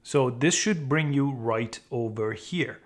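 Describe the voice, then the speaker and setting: A man's voice: medium pitch, slow pace. A man hosting a tutorial, explaining step by step how to use an app feature. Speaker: tutorial host. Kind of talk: how-to screen tutorial narration.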